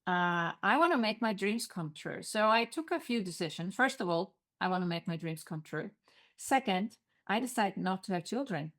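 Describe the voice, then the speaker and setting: A woman's voice: medium pitch, expressive, moderate pace, fairly bright tone. A middle-aged woman with an Icelandic accent, a guest telling her story in an earnest way on a podcast interview. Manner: earnest, storytelling, occasional non-native phrasing.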